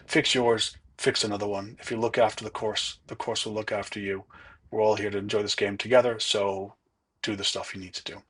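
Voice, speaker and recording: A man narrating his own golf round in a relaxed, chatty, self-deprecating way. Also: on the low side, husky. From not from Ireland. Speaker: golf vlogger. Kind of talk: casual voice-over commentary.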